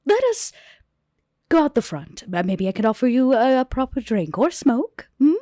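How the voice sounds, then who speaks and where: A woman's voice: sits high, very animated, normal speed, mellow, no echo, studio quality, pitched above the performer's own voice. A woman voicing a poised, hospitable vampire club owner in a tabletop game, with genteel, formal wording. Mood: gracious, inviting. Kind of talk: tabletop roleplay in character.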